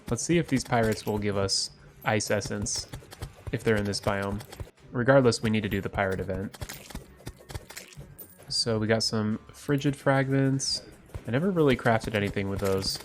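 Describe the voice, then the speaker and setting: A man's voice: fairly low pitch, moderate pace, pauses often, slight background noise. Congested man doing upbeat game commentary. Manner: upbeat, excited at loot. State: congested from a cold. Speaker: gaming YouTuber. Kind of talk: let's play commentary.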